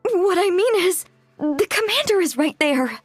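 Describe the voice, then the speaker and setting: A woman's voice: extremely high pitch, animated, measured pace, no room sound, soft background music. A woman playing an earnest young female android machine gunner in a video game, loyal and protective, with a direct way of speaking. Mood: flustered. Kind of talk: English video game voice acting.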